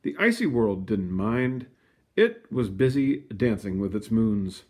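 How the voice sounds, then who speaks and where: Animated creaky-voiced man reading a storybook. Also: lowish voice, unhurried. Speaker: storybook reader. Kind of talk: expressive children's read-aloud with casual asides.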